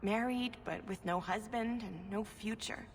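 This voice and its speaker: An actress playing a timid, sad elven seamstress in a video game, speaking hesitantly and deferentially. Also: female voice, mid-range voice, a little slow, slight background noise, close to an everyday voice, rough recording. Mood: sorrowful, fearful. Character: timid, sorrowful elven seamstress, polite abandoned wife.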